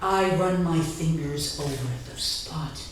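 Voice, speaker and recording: A woman giving a live literary reading, deliberate and dramatic, pausing between short phrases. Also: sits low, recorded from a distance. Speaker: author reading at a literary event. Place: indoor reading event before an audience. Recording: echoey room from afar, faint noise.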